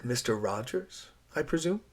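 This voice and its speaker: A man's voice: mid-range voice, expressive, moderate pace, close to an everyday voice. A man voicing a flustered, polite young female schoolteacher who talks in a formal, hesitant way.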